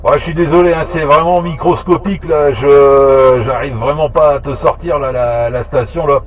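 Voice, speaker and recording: A man chatting casually over amateur radio in a halting way, repeating words as he thinks. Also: on the high side, steady tone. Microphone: two-way radio transmission. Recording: muffled two-way radio, faint noise.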